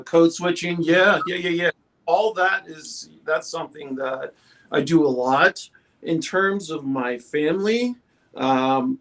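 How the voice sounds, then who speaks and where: A man on a casual panel chat who speaks candidly and haltingly, pausing often on fillers. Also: mid-range voice, a bit bright. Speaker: panel guest.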